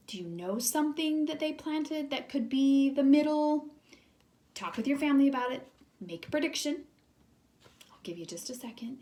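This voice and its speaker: A woman's voice: sits high, darkish tone. A woman teacher reading a children's storybook aloud expressively, speaking directly to young listeners.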